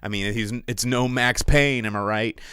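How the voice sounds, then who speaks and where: A man's voice: mid-range voice, normal speed, pushed and bright, smooth, no room sound, clean studio sound. A man in his forties hosting a casual solo movie livestream, rambling and joking with a sarcastic streak. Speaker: movie review show host.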